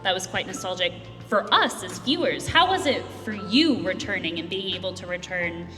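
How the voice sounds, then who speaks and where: A woman's voice: lowish voice, expressive, normal speed, bright, forceful tone, faint music behind. A woman hosting a convention Q&A, asking long, chatty, enthusiastic questions. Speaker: convention Q&A host. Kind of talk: fan interview questions. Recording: echoey hall with long reverb.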